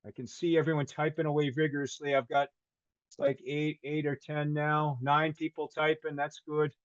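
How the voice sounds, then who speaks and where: An older man with a hard Boston accent presents over a webinar call, looping through restarts and self-corrections with a light, joking tone. His voice sits fairly high, and the sound is dry and muffled like a video call. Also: flat delivery, normal speed, no room sound. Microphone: video call microphone. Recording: dull webinar call audio.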